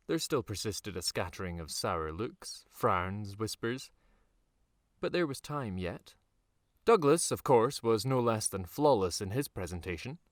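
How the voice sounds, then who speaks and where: A man reading a dark fantasy audiobook in a measured, dramatic storytelling manner with deliberate pauses. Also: medium pitch, no room sound, studio quality. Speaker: author reading his own novel. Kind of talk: scripted audiobook narration.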